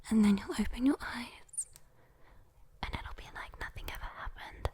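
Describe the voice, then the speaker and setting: A woman's voice: on the high side, normal speed, dark tone, breathy edge. A British woman voicing a sweet, teasing demon in an ASMR roleplay, coaxing and soothing a sleeper as she bargains for a soul. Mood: gentle, reassuring. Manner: whispered, coaxing, soothing, lingering on words.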